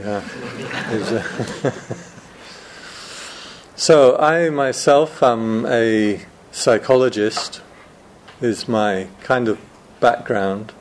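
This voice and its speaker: Slow, joking monk giving a talk. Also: male voice, medium pitch, slight background noise. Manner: chatty and joking, laughs often. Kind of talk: informal Dharma talk to students.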